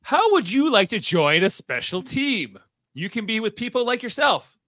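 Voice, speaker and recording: Male actor as earnest superhero leader, animated, dry close sound, live radio drama. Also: high voice, moderate pace, no room sound. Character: earnest heroic young leader of mutant team. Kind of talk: live radio play reading.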